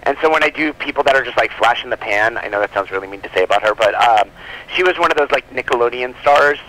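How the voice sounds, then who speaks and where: A man with a forward, very bright voice takes part in a casual phone interview as the guest. He talks informally and loosely, wandering into asides as he goes. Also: medium pitch, animated, quick pace. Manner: informal, rambling, peppered with asides. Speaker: interview guest, comic book publisher. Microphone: telephone. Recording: telephone call.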